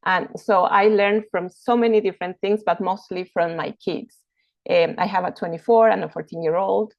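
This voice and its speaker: A woman in her forties from Ecuador, an educator giving a casual introduction on a video call, thoughtful and pausing to find her words. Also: mid-range voice, fairly even intonation, gravelly, dry recording, highs cut off. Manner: thoughtful, searching for words, many fillers. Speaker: educator and homeschooling parent.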